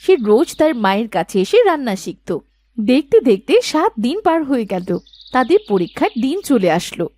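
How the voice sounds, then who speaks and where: A woman's voice: a little high, sing-song, moderate pace, dark tone. A woman reading scripted fairy-tale narration as the narrator.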